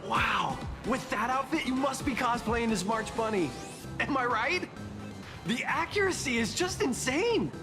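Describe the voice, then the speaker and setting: A woman playing an excitable, gushing young female fan in a scripted video game scene. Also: fairly high pitch, measured pace. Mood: excited, gushing. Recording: music under the voice.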